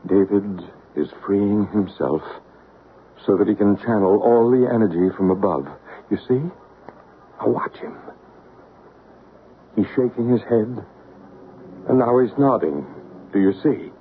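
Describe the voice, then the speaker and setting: A psychic medium who patiently coaxes a spirit to speak in a radio drama. His voice is calm and even, at a middling pitch. The broadcast sounds tinny and narrow, with faint hiss. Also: unhurried, pauses often. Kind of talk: 1970s radio drama acting.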